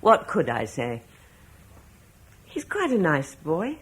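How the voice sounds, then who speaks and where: An actress playing a caring, motherly Roman wife, soothing and gentle in a vintage radio drama. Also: deep voice, wildly swooping pitch, takes it slowly, breathy edge, heavily acted.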